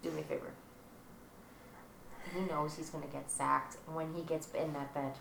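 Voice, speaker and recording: Young woman, low gravelly voice, sarcastic, cusses a ton, echoey room. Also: little pitch movement, moderate pace, a bit bright. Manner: sarcastic, swears a lot, mock threats. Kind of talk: casual banter among friends. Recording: echoey room with faint noise.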